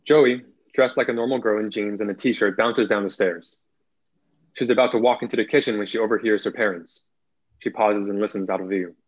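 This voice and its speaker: A young man reads screenplay directions as a narrator. His voice sits on the low side, and it comes through a video call, dry and slightly lo-fi. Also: steady tone, fairly quick, no room sound. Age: young adult. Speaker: narrator reading stage directions. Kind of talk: scripted narration. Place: indoor room at home, video call.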